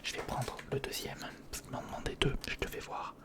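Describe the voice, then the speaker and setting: A man whispering an informal ASMR narration of hands-on work, thinking aloud and softly repeating words, close to the microphone. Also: a little fast. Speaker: ASMR video creator. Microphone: close recorder or studio microphone.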